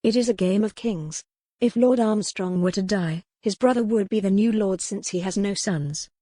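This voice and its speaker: A woman's voice: medium pitch, moderate pace, mellow, dry recording, clean studio sound, natural voice. A woman voicing a remorseful yet determined young noblewoman in a historical romance audiobook. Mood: thoughtful. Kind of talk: dramatic audiobook character reading.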